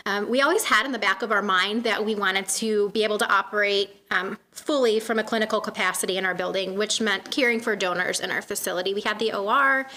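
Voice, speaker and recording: Soft-spoken woman with a bright voice. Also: medium pitch. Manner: soft-spoken, many "um" fillers. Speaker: guest speaker from a donation nonprofit. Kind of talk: community meeting presentation. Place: meeting room.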